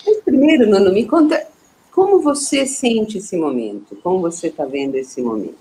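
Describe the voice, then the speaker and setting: A woman, hosting a live online interview, chatting warmly and asking questions, often saying "né". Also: medium pitch, very animated, moderate pace, a little hiss or hum, poor audio. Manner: warm, conversational, with frequent "né". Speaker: host, portal director.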